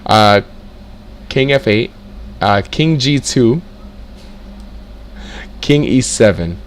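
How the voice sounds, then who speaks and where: A young man teaching chess in a casual way, reading out moves and breaking into excited exclamations as he works through the position. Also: medium pitch, very slow, slight background noise. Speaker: chess tutor. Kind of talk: casual screen-share lesson, thinking aloud.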